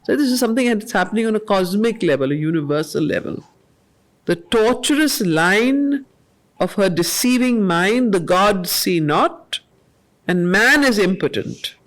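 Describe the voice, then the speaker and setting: A woman leads a study circle, reciting poetry at a very unhurried pace. She repeats key lines with weight before unpacking their spiritual meaning. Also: lowish voice. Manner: slow, measured recitation, repeating lines for emphasis. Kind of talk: reading poetry aloud with spiritual commentary. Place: meeting room of a study group.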